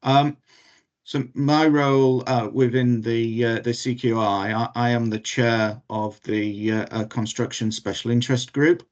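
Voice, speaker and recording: A man's voice: mid-range voice, steady tone, a little slow, muffled recording. A man introducing himself as a panel member on a webinar, earnest and stumbling with many fillers. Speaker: panelist, industry group chair.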